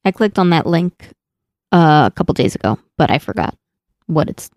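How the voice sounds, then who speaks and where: A podcast co-host chatting casually, relaxed and explanatory. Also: medium pitch, normal speed, no room sound, studio quality. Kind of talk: casual chat.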